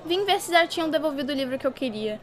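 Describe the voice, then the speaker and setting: A woman's voice: high-pitched, animated, fast, some chatter behind. A woman playing an eager, wistful little schoolgirl who asks childlike questions in a radio drama, with a Brazilian accent. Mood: hopeful.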